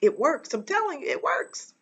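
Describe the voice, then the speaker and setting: An American woman giving an instructional talk as a reading teacher and host, speaking emphatically and deliberately with dramatic pauses. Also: high voice, big pitch swings, vocal fry, fairly bright tone.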